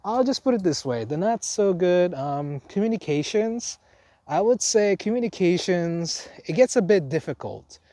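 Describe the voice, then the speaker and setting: A young man with an Indonesian accent, speaking a little higher than most men. He talks off the cuff to his camera, circling back, restarting sentences and filling gaps with "uh" and "like". The sound is dry and close, with no room around it. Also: animated, normal speed, warm tone, no room sound. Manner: rambling, many restarts and fillers. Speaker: vlogger, foreign teacher. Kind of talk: unscripted casual vlog talk.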